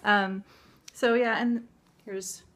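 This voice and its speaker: A woman's voice: mid-range voice, fairly even intonation, very slow, a bit bright. An American woman in her thirties talking casually and a little hesitantly in a personal vlog, recorded on a phone at home. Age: 30s. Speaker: vlogger. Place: indoors at home, living room. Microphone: handheld phone at arm's length.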